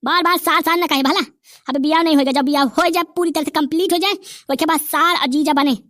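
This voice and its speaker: A boastful old village grandfather, voiced high and bright by a woman, ranting in a thick Bundelkhandi accent. He brags and grumbles in rustic dialect. The recording is close and dry, with no room sound. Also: fairly even intonation, a little fast, no echo. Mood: grumbling. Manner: bragging, rustic dialect rants. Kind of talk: cartoon comedy voice acting.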